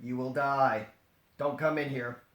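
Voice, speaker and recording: A man's voice: medium pitch, fairly even intonation, measured pace. A man reading a story aloud as comedy, breaking off into jokey, dramatized ad-libbed asides. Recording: roomy echo, faint noise and clicks.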